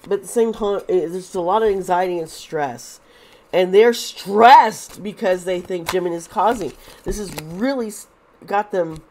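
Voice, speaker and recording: A woman's voice: lowish voice, a little slow, darkish tone, a little hiss or hum. A woman with a congested, fading voice, doing a casual tarot reading to camera, rambling with frequent restarts and fillers. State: congested, losing her voice. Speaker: tarot reader.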